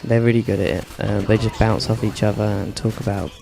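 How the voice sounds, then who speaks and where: A man giving casual, monotone commentary over his own gameplay, with dry humour and many hesitations. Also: on the low side, a little fast, vocal fry, crowd noise. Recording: game gunfire in the background.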